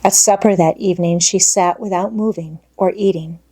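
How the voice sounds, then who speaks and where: Woman reading a kids audiobook, mellow soft-edged tone, slightly husky. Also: on the low side, fairly even intonation, normal speed. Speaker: audiobook narrator. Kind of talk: scripted children's storybook narration.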